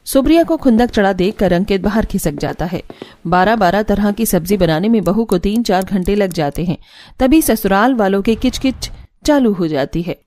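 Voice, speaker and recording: A woman's voice: medium pitch, lively intonation, normal speed, a little mellow, a little hiss or hum. A woman reading scripted narration for a cartoon family story.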